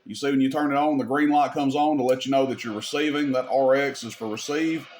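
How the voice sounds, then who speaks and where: A man's voice: mid-range voice, fairly even intonation, brisk, a little mellow. A man giving a casual hands-on equipment demonstration, explaining things step by step in an informal, folksy way. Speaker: radio repair video host demonstrating gear.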